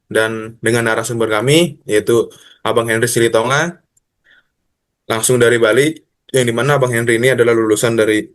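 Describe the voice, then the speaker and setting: A man, hosting a podcast interview in an informal, familiar way. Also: mid-range voice. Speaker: podcast host.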